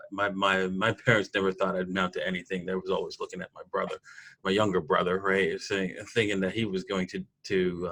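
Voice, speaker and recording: A man, telling personal stories in a casual interview in an animated way. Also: deep voice, low-quality recording. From Toronto, Canada. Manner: animated storyteller, laughs often. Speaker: podcast guest, programmer.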